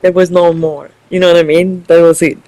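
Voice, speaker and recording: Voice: medium pitch, flat delivery, a little fast, warm tone, faint music behind, low-quality recording. A gaming video host giving casual, rambling, informal commentary on a playthrough, thinking aloud.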